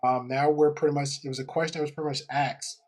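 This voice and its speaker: A man's voice: on the high side, flat delivery, fast talker, darkish tone, soft background music. A man hosting a livestream podcast, commenting in an emphatic, coaching way and greeting viewers in the chat. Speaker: podcast host.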